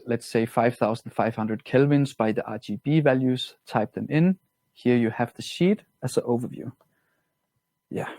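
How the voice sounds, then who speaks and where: Man with a Danish accent, mellow and dry. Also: mid-range voice, dry recording. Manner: relaxed, plain-spoken, slightly non-native grammar. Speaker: product trainer. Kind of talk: webinar software walkthrough.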